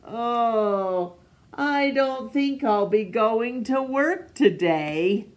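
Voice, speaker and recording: A woman voicing a gentle, polite old male zookeeper from a children's storybook, in a mild, courteous way. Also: fairly high pitch, expressive, extremely slow. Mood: tired.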